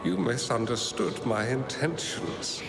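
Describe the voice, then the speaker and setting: A man voicing an aged, wise hermit master in a video game, measured, gentle and detached, with a gravelly voice. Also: mid-range voice, lively intonation. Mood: calm, aloof. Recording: echoey long reverb with game music.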